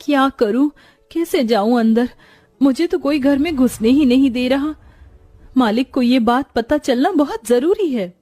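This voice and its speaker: A woman's voice: high voice, lively intonation, measured pace, mellow, no room sound, faint music behind, pitched above the performer's own voice. A woman voicing a loyal, anxious young servant woman in a moral story, earnest and deferential. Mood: urgent pleading.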